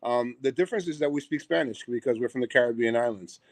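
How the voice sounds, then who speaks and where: A man's voice: mid-range voice, fast talker, no echo. Middle-aged New York man, theater owner and chef, earnest, repeats himself, dry close sound. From Puerto Rico. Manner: earnest, repetitive, many ums and restarts. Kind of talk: casual interview chat. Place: theater seat on a live call.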